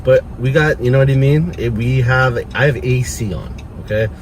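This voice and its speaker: A man's voice: medium pitch, normal speed. A man chatting casually to his viewers in a rambling, slangy, laid-back hype style while driving in a truck. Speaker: vlogger. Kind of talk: casual vlog chat to camera. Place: inside a truck being driven. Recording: loud vehicle and air-conditioning noise. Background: truck engine and road noise.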